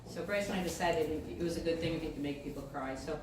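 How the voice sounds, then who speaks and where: A middle-aged American woman giving a live poetry reading with casual introductions, phrasing expressively and deliberately. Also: low-pitched. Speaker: featured poet reading at a poetry event. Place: indoor live event space, mic far from speaker. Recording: distant, echoey room recording with clear noise.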